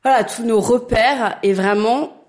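A woman's voice: on the high side, measured pace. A woman hosting an interview, talking casually and engaging with her guest. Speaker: interviewer host.

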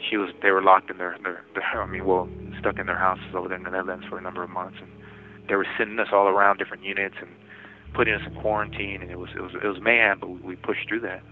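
A man in his forties talking casually from prison over the phone, halting and often restarting his words. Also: low-pitched, level tone, slight background noise. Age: 40s. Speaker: interviewee in prison. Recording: tinny prison phone line.

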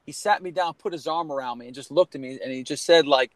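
A man around forty, originally from Connecticut, tells his story earnestly and in a rambling way, circling back with "you know." His voice sits a little high for a man. The recording is close and dry. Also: fast, no room sound. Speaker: guest, former college baseball player. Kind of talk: candid storytelling in a podcast conversation.

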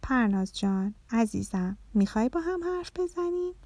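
A woman's voice: a little high, big pitch swings, a little mellow, dry recording. A woman voicing a caring, patient mother butterfly in a children's story. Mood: gentle, patient.

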